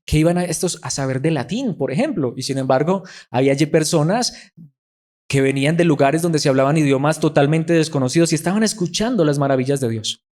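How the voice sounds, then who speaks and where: Animated preaching man, voice raised. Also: on the high side. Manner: preaching with dramatic quoted voices and rhetorical questions. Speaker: pastor. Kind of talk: sermon.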